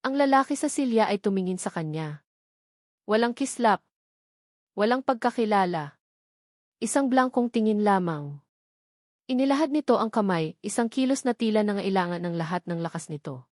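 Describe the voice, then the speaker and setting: A woman narrating a scripted romance story in a measured, melodramatic way. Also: medium pitch, animated, darkish tone, no echo, clean studio sound.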